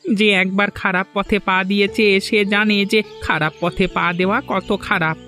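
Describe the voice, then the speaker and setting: A man's voice: sits high, fairly even intonation, normal speed, bright, forceful tone. Man acting a wheedling cartoon village thief, music behind. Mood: earnest. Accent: West Bengal Bengali. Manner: pleading, ingratiating, theatrical. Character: scheming middle-aged village thief turned eager fish-hotel owner.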